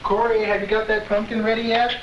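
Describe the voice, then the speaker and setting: A man's voice: high voice, flat delivery, brisk, recorded from a distance, highs cut off, lightly acted. A man playing a patient, encouraging father in a 1980s educational film, speaking plainly to his child. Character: helpful, patient father carving a pumpkin with daughter. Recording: very noisy old film soundtrack.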